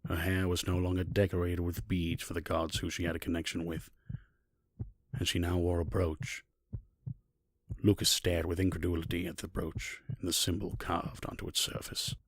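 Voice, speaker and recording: A man's voice: low voice, monotone, moderate pace, no room sound. A man narrating a scripted fantasy story as the narrator of an audio drama.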